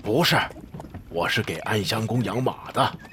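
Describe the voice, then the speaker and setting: A man's voice: on the low side, animated, normal speed, a bit bright, a little hiss or hum. A man playing a frank, folksy middle-aged cart driver who talks colloquially and gives advice, in a Chinese period audio drama. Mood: frank, teasing.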